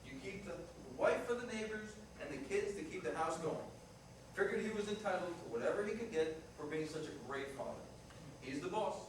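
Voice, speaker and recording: A man's voice: high-pitched, a little fast, lightly acted. A man acting a restless, blunt Italian-American ex-fiancé in a staged play reading. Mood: bitter, insistent. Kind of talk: staged reader's theater dialogue. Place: live staged reading in a reverberant room. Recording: echoey, noisy room, distant mic.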